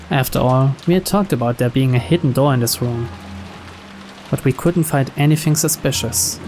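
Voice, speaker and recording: A man reading a visual novel aloud as scripted story narration. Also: on the high side. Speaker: video game reader. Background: game background music.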